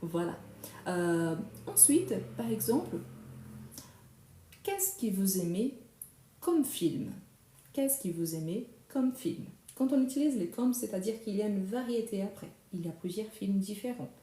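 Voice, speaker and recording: A young woman whose first language is Brazilian Portuguese, teaching a live French lesson slowly and repeating phrases for learners. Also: mid-range voice, expressive, mellow, echoey room, a little hiss or hum, poor audio.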